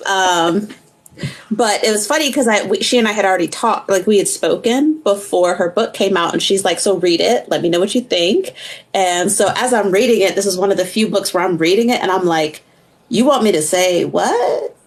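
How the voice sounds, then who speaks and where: Relaxed, laughing woman narrator. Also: mid-range voice, moderate pace. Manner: relaxed, laughs often, restarts sentences. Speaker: audiobook narrator. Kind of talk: casual panel chat.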